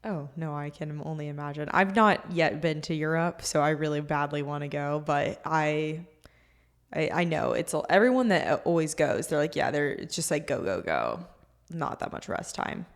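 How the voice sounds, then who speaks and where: Low-voiced laid-back woman co-host. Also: expressive, normal speed. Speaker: podcast co-host. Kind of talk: casual chat.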